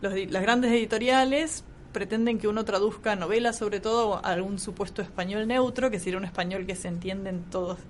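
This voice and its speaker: A woman's voice: mid-range voice, fairly quick, few pauses, a little hiss or hum. A woman from Córdoba, Argentina, with a Córdoba accent, explaining translation thoughtfully in a radio interview. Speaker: translator, guest.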